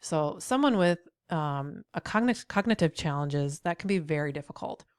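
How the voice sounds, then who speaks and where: Low-voiced middle-aged woman with a cold. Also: lively intonation, moderate pace, smooth, no room sound, clean studio sound. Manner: encouraging, speaks straight to the viewer with frequent "right?". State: head cold, a bit nasal. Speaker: accessibility trainer. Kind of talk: tutorial video.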